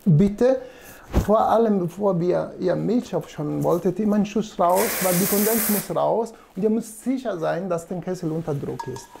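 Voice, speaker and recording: A man in his forties with an Italian accent, a native Italian speaker, giving a casual, halting, self-deprecating demonstration in a gravelly voice. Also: high voice. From Italy. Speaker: presenter demonstrating espresso machines. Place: small indoor coffee showroom. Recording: noticeable background room noise.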